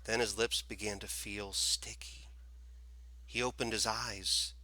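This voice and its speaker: A man reading a children's story aloud as expressive scripted narration. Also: fairly low pitch, slow. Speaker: storybook reader, teacher.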